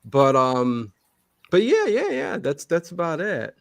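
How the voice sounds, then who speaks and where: A man's voice: fairly high pitch, wildly swooping pitch, slow. A middle-aged man chatting casually as an interview guest, talking emphatically and repeating words to stress his points. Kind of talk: casual chat.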